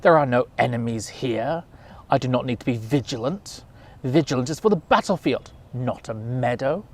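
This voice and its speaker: A man voicing a proud warrior lion in a storybook tale, speaking in loud, declaring tones. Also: medium pitch, expressive, moderate pace, close to an everyday voice. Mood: dismissive.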